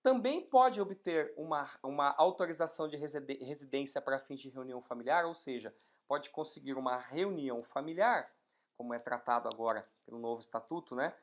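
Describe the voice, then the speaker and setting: Animated woman lecturing on law. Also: a bass voice, brisk. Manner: explains step by step with rhetorical questions; lively, animated emphasis. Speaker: law instructor. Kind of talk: exam-prep legal lecture.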